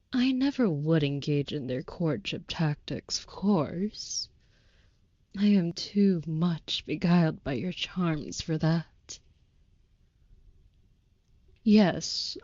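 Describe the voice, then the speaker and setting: A woman voicing a devoted, youthful but centuries-old vampire lover in an intimate audio roleplay, speaking softly with breathy, tender, archaic formality. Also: lowish voice, dry recording, highs cut off, exaggerated voice.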